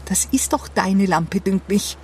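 Radio-drama policewoman played by an actress; cutting, condescending menace, medium pitch, slight background noise. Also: a little slow, lightly acted. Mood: sardonic, threatening. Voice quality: sharp. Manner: sardonic, condescending. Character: sharp, menacing female police investigator interrogating a suspect.

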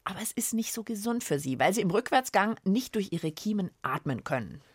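A woman presents a children's science podcast. Her talk is casual and conversational, with lots of rise and fall in pitch. The sound is dry and close. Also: expressive, fairly quick, slightly rough, dry recording. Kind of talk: lively scripted-casual chat.

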